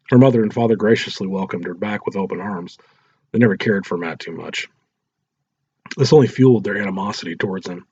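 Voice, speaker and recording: Husky man reading a story aloud. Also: fairly low pitch, darkish tone, no room sound, muffled recording. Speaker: story narrator. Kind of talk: scripted audiobook narration.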